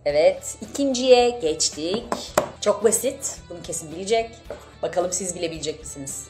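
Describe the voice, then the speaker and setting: Playful woman with lively, swooping pitch. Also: moderate pace, music in the background. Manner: playful, expressive, repeats words. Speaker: channel host, quiz player. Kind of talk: casual game chat. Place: small indoor studio, seated at a table.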